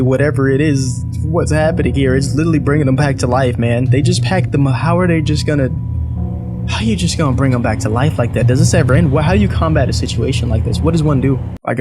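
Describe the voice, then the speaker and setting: A man giving casual, slangy reaction commentary, speaking directly to his viewers. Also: medium pitch. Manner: informal slangy talk, addressing viewers directly. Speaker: reaction video host.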